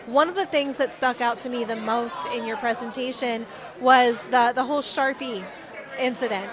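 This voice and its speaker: A woman's voice: on the high side, moderate pace. A woman reporter doing a conversational on-location interview, speaking in an effusive, supportive way. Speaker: TV correspondent interviewing. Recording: crowd chatter around the voice. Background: crowd at an event.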